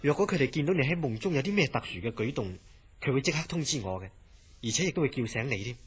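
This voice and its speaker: Man acting a husky, placating hospital director. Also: mid-range voice, expressive. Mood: reassuring. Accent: Hong Kong Cantonese. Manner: measured, placating. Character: calm, reassuring male psychiatric hospital director. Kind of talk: Cantonese horror radio drama acting.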